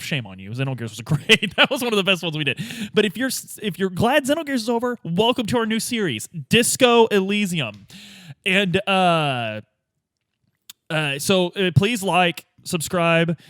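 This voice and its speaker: Higher-voiced man, casual podcast host. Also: a bit bright, dry recording, studio quality. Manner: jokey, halting. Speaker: podcast host and player in a tabletop roleplay.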